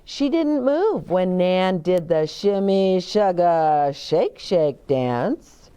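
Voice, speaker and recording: Older female children's storytime host, lowish voice, unhurried and animated read-aloud. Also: clean tone. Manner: deliberate, simple explanations as to small children. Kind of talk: expressive picture-book read-aloud with asides to young children. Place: indoor bookshop-like set with shelves, seated in armchair.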